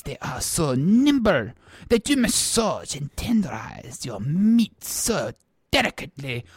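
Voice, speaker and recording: Pompous, slow-talking French ghost. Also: medium pitch, dry recording, clean studio sound. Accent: put-on French. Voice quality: exaggerated, unsilky French accent. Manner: pompous, dramatic, easily offended. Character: haughty, touchy ghost of French servant speaking through medium. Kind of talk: improvised comic character in dialogue.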